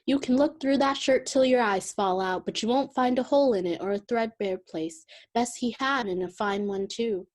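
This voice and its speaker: A young woman playing a brazen, greedy lower-class thief in a radio play, brash and unashamed. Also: moderate pace, few pauses, a little mellow, natural voice. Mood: brazen, boastful. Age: young adult. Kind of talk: theatrical radio play acting.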